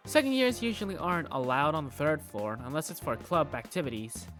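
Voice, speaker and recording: A man voicing a curious, self-doubting high school student who narrates a visual novel fandub in the first person. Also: lively intonation, fairly quick, fairly bright tone, faint music behind. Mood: curious, uneasy.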